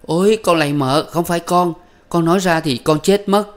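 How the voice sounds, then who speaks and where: A man voicing a terrified young female servant who pleads in a high, shrill, sobbing voice. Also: moderate pace, lightly acted. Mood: pleading, sobbing.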